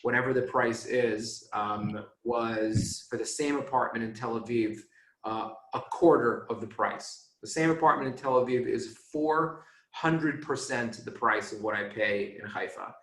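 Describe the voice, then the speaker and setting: A man's voice: medium pitch, a little slow, fairly bright tone, reverberant, rough recording. A man talking casually as a guest on a video call, with many fillers. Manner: conversational, filler-heavy. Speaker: panel guest.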